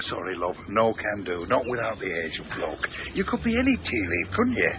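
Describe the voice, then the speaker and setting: An actor playing a gruff, cheeky working-class Yorkshireman, blunt and slangy, in a naturalistic radio drama. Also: on the low side, wildly swooping pitch, brisk. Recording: dull radio recording with some background noise.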